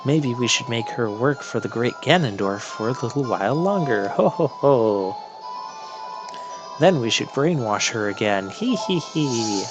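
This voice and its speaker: A man's voice: medium pitch, animated, moderate pace, hardly any pauses, music in the background, natural voice, poor audio. Man putting on haughty cackling old witch villains. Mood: scheming, cackling. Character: cackling old witch sisters, haughty, menacing video game bosses.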